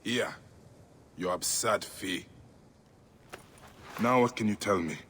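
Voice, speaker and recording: An actor playing a stern, impatient ancient Egyptian avenger in a video game, terse and grave with a wry edge. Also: male voice, on the low side, slow, a bit bright, slight background noise. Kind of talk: video game cutscene acting.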